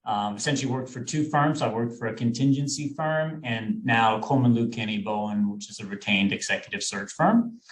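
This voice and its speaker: A man's voice: mid-range voice, brisk, hardly any pauses, poor audio. A man, an executive recruiter speaking as a webinar guest in a friendly, explanatory way with frequent fillers and restarts over a video call. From Sweden. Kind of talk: webinar presentation.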